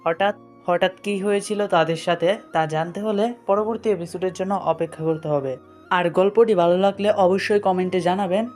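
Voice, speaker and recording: Low-pitched woman narrating over music. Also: steady tone, moderate pace, a little mellow. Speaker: story narrator. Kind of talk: scripted story narration with dialogue. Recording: music behind the voice. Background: background music.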